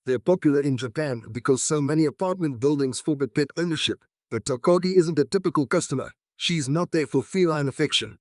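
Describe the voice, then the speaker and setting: A man's voice: on the high side, brisk, few pauses, a little mellow, no echo. Man reading aloud, very dry sound. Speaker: reader of practice exam passages. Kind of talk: scripted read-aloud.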